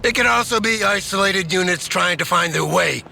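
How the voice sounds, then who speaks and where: An actor playing a gung-ho, authoritative American general giving emphatic orders in a military strategy game. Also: male voice, high-pitched, normal speed, gravelly, pushed and bright, soft background music, full character voice. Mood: confident, firm. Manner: commanding, emphatic.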